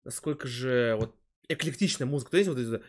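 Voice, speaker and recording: A man's voice: medium pitch, fast talker, darkish tone. A man in his twenties giving casual reaction commentary as a streamer, speaking close to a desk microphone.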